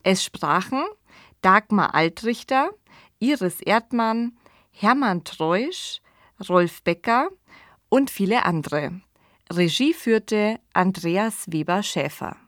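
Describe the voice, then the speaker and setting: A woman hosting a podcast, presenting and discussing in a measured, reflective way. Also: mid-range voice, lively intonation, fairly bright tone, no echo, clean studio sound. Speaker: podcast host.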